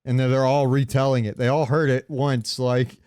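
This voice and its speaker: A man's voice: mid-range voice, little pitch movement, moderate pace, darkish tone, clear, resonant tone, no room sound, studio quality. A man hosting a casual gaming podcast, joking and opinionated. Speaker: podcast host.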